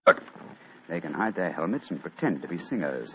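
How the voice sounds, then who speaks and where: A man's voice: sits low, animated. A man playing a commanding, shrewd British detective in precise 1940s radio acting. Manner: brisk, precise, authoritative. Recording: muffled, noisy old radio recording.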